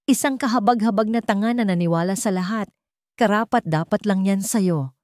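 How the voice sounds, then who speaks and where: A woman's voice: mid-range voice, normal speed, a little mellow, no room sound, studio quality, close to an everyday voice. A woman voicing a scornful, scheming mistress in an audio drama, angry, defiant and heated. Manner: spiteful, heated, raised voice.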